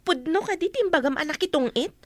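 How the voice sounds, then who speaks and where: A woman's voice: high voice, expressive, fast talker, dry recording. A woman with an Ilocano accent playing a reproachful, exasperated working elder daughter in a comedy radio drama. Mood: probing.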